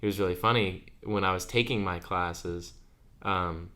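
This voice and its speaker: Deep-voiced young man, chatty and laughing. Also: normal speed, sharp tone. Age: under 20. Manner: chatty, laughs while telling stories. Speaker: podcast co-host. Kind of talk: casual chat.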